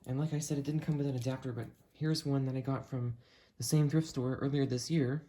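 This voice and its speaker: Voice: mid-range voice, steady tone, a little fast, warm tone. A person giving a casual, chatty hands-on product review, talking while handling the item. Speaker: host of a gadget review video. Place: indoors, room at home. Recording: noisy home recording, clicks and handling.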